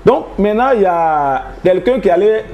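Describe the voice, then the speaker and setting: A man's voice: high-pitched, expressive, normal speed, darkish tone. A man in his thirties with an Ivorian French accent, a traditional healer telling his story in a rambling, hesitant way. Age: 30s. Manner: rambling, hesitant, laughing often. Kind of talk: casual interview.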